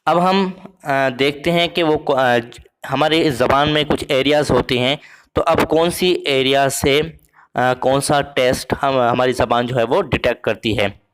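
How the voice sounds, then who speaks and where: A man teaching a biology lesson, slow and halting with many fillers, reading English lines and then explaining them simply. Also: mid-range voice, clean tone.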